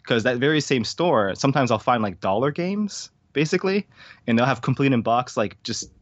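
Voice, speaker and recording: A man's voice: mid-range voice, expressive, brisk, lo-fi sound, slight background noise. An American man from Hawaii chatting casually as an interview guest, rambling, slangy and joking. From Hawaii, United States. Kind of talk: casual chat.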